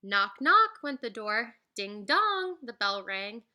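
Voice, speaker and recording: A woman with a high, very bright voice reads a children's story aloud. She takes her time, giving the rhymes an animated, singsong lilt. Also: slow. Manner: animated, singsong rhyming delivery with teacherly asides. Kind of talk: expressive children's picture book read-aloud.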